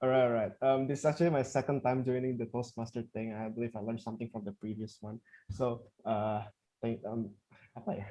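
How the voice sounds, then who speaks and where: A man's voice: mid-range voice, level tone, a little fast, dark tone, poor audio. A man from Indonesia speaks casually at an online speaking-club meeting over a video call. He fumbles and repeats words, leaning on fillers. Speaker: club member. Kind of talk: short impromptu remarks.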